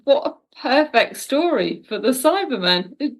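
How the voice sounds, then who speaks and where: Woman w higher voice, writer guest chatting, keen and expressive. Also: unhurried. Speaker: audio drama writer, podcast guest. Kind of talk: casual interview chat.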